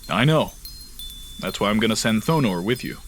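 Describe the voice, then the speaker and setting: Man as a low-voiced solemn fantasy leader. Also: animated, a little hiss or hum. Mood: resolute. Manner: measured, grave. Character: solemn, resolute male fantasy leader, a lord warden. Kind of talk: fantasy audio drama acting.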